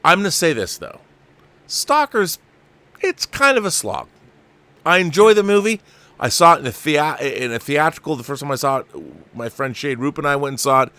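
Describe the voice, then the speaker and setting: A man's voice: a little high, sing-song, moderate pace, sharp tone, smooth, dry recording. A man hosting a casual live show, chatting enthusiastically with rambling asides and reading viewer comments aloud.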